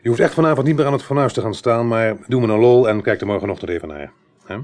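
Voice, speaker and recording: A man's voice: medium pitch, fast talker, darkish tone, natural voice. A man acting in a radio play as an assured, easygoing police inspector with an informal, persuasive manner. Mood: firm, coaxing.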